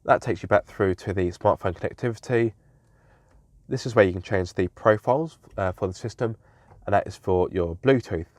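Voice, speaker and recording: A man's voice: fairly low pitch, moderate pace, a little mellow, no echo. A young man with a blocked nose, sounding slightly nasal, giving a chatty car review from inside the vehicle and often correcting himself. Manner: chatty, self-correcting with fillers. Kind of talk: casual car walkaround review.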